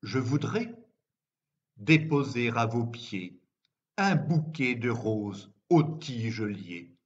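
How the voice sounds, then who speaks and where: A man reciting poetry as a poet reading his own work, in a measured, deliberate way with frequent pauses. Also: mid-range voice, big pitch swings. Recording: dull, lo-fi sound.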